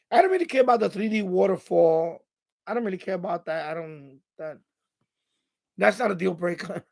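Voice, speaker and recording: High-pitched, creaky-voiced man chatting casually. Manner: rambling, halting, thinking aloud. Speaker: live stream host. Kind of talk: casual live stream chat.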